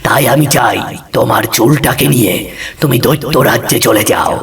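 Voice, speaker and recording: A man's voice: medium pitch, moderate pace, far from the mic, natural voice. A man voicing a selfless, kindly captive giant in a fairy-tale cartoon, speaking solemnly.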